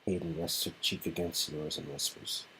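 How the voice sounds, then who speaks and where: A man's voice: deep voice, fairly even intonation, moderate pace, sharp tone, slight background noise, low-quality recording. A man reading scripted second-person romance narration and dialogue from an interactive story. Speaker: narrator and co-reader.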